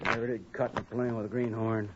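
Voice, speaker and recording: An actor playing a glum, hot-tempered gambler in a 1950s radio western, with an American Western accent and a surly, impatient manner. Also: male voice, lowish voice, flat delivery, fairly quick, fairly bright tone, natural voice. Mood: surly impatience. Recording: muffled, slightly noisy 1950s radio recording.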